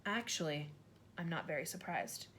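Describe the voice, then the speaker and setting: A woman doing a gentle, soft teacher. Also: sits low, moderate pace. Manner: quiet, soft-spoken.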